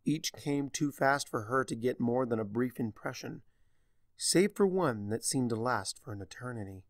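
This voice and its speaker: A man narrating a story from a script, with deliberate, theatrical phrasing. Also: medium pitch, animated, no room sound.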